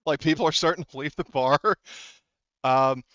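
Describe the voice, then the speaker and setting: A man runs a tabletop roleplaying game, improvising the narration. His voice is slightly high and smooth, recorded dry and close. He speaks casually and swears freely. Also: moderate pace, a bit bright, dry recording, muffled recording. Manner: casual and profane. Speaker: game master.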